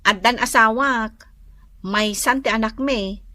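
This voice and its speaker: A woman's voice: a little high, takes it slowly, sharp tone, dry recording, studio quality. An actress with an Ilocano Filipino accent playing a chatty, teasing married woman in a radio drama. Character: chatty, teasing young married woman visiting an old classmate.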